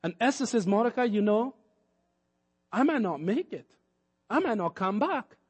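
A man's voice: sits high, big pitch swings, normal speed, a little mellow, slightly rough. A man from Kenya preaching a sermon, building to emphatic peaks and acting out the words of the people in his story. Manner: preaching with rising emphatic peaks and acted-out quotes. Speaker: pastor. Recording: long hall reverb.

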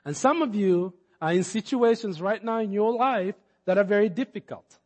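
A man's voice: high voice, normal speed, darkish tone. A man from Kenya preaching a sermon, building to emphatic peaks and acting out the words of the people in his story. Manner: preaching with rising emphatic peaks and acted-out quotes. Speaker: pastor. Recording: long hall reverb.

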